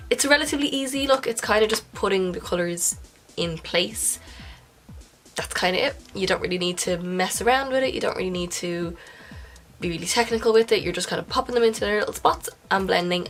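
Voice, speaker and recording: A young woman with a blocked, nasal voice talks through her makeup in a loose, friendly way, stopping to correct herself now and then. Her voice sits at an ordinary pitch. Faint music plays underneath. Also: vocal fry. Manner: chatty, self-correcting, breaks into soft whispered asides. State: congested, nasal. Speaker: makeup vlogger. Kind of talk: casual makeup tutorial talk. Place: indoors at home, filming a close-up makeup tutorial.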